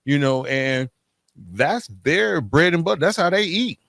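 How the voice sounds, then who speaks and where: A man's voice: on the high side, expressive, unhurried, gravelly, fairly bright tone, no echo. A middle-aged man hosts a conversational podcast. He makes his points with emphasis and keeps checking that the listener follows. The sound is dry, with very little room. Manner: emphatic, punctuates points with tag questions. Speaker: podcast host.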